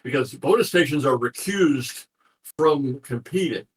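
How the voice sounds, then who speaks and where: A man with a husky voice talking informally as an interview guest, explaining things in a rambling way and repeating phrases as he goes. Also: medium pitch, expressive, measured pace, rough recording. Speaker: interview guest, ham radio contest organizer.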